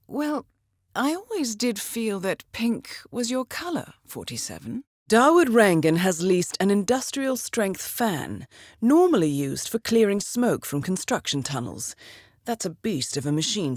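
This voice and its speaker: An actress playing a composed, dryly witty intelligence handler who briefs an assassin in a measured, formal way for a video game. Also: female voice, mid-range voice, a little mellow, no echo, studio quality. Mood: dry, wry, then composed briefing. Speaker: mission handler briefing an agent. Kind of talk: scripted video game voice acting, mission briefing.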